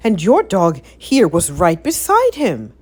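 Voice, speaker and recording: A woman voicing a shrill, bossy, easily outraged aunt in a storybook, scolding as she exclaims. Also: huge pitch swings, slow pace, husky, heavily acted. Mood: alarmed.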